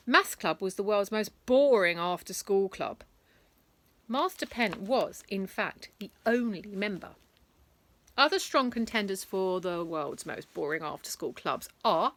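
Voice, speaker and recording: A woman reads a bedtime story aloud to children, her voice bright and crisp. She takes an unhurried pace and leans into the drama, with playful asides along the way. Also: medium pitch. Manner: lively, dramatic storytelling with big emphasis and asides.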